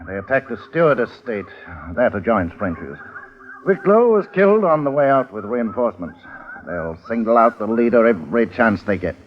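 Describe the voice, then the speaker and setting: An actor playing a brisk, clipped male police constable at a jungle outpost in a 1950s radio drama. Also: medium pitch, very animated, slight background noise, close to an everyday voice. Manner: brisk, clipped, slightly hesitant. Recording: lo-fi old radio broadcast.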